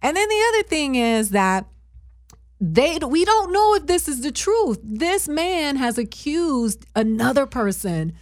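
A woman's voice: high-pitched, very animated, measured pace. A woman, co-hosting a casual group chat and telling profane stories with laughter.

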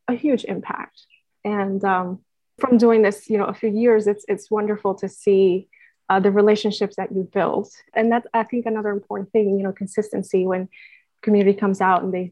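A woman's voice: mid-range voice, no echo. A woman from Puerto Rico answering interview questions as an organization's staff member, earnestly and with many fillers and restarts. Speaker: guest, nonprofit staff member.

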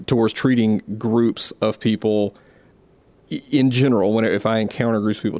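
A low-pitched man with a Southern American accent, talking through a casual podcast conversation. He rambles, restarts his sentences and pokes fun at himself. The recording is dry, with no room sound. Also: darkish tone, dry recording. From South Mississippi, USA. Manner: rambling, self-deprecating, frequent restarts. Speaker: podcast co-host.

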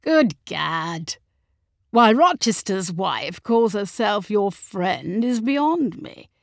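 A woman's voice: medium pitch, animated, slow, gravelly, a bit bright, dry recording, studio quality, full character voice. A woman acting a haughty, drawling aristocratic husband. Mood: cutting, exasperated. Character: coldly bored, cutting aristocratic husband and father. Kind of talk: audiobook character acting.

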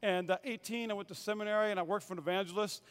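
A man's voice: high-pitched, fairly even intonation, rapid-fire, clean tone. A pastor from North Dakota preaching a humorous sermon, playfully quizzing his congregation with call-and-response. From North Dakota, USA.

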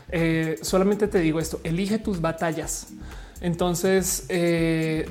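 Mellow, low-pitched Mexican woman chatting. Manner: colloquial, slangy. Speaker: livestream host answering viewer questions. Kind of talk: casual chat, reading out comments and replying.

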